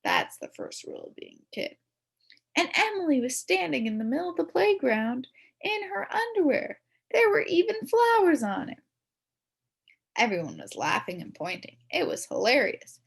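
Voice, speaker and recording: A woman reading a children's story aloud in the first person as a kid, expressively, with dramatic emphasis and drawn-out words. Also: high-pitched, very animated, vocal fry. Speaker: storybook reader.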